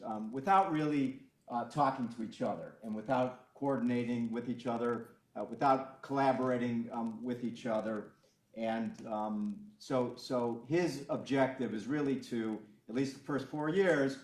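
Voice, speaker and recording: A man from the Cleveland area, a county official, giving an unscripted public talk, halting with many ums and restarts. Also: mid-range voice, reverberant. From Cleveland area, Ohio. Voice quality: loud voice.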